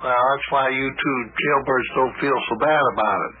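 A man's voice: mid-range voice, fairly even intonation, normal speed, phone-quality sound, close to an everyday voice. A man acting a grumbling, self-pitying cab driver turned private eye in an old-time radio comedy, lamenting in a melodramatic way. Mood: woeful. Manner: woeful, melodramatic, repetitive lamenting. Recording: thin, noisy old radio recording.

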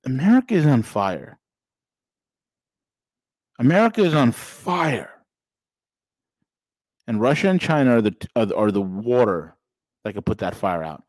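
A man's voice: mid-range voice, very animated, unhurried, long pauses, a little mellow, dry recording. A man in his forties hosting a casual political podcast, speaking straight to listeners with preachy, rising emphasis. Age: 40s. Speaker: podcast host.

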